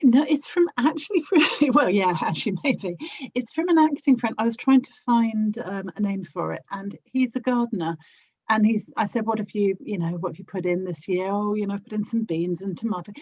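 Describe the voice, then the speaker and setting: A woman chatting casually as a podcast guest, with a gentle, giggly way of talking. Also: mid-range voice, a little fast, dry recording, lo-fi sound. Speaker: podcast guest, audio drama producer. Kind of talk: casual chat.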